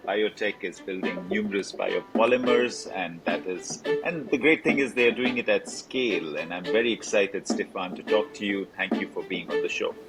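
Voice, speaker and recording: A man's voice: lowish voice, normal speed, few pauses, over loud music, rough recording. A male podcast host interviewing a guest in a friendly, effusive way, with frequent fillers. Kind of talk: interview hosting.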